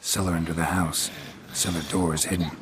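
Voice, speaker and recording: A man playing a stoic, world-weary monster hunter in a video game, terse and deadpan. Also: sits low, fairly quick, background noise, natural voice. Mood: matter-of-fact. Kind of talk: understated video game acting.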